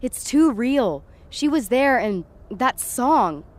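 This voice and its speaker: A woman's voice: a little high, very animated, takes it slowly. A woman playing a frightened, sceptical, foul-mouthed young woman in a first-person audio drama. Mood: agitated, disbelieving. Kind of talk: first-person present-tense audio drama narration and dialogue.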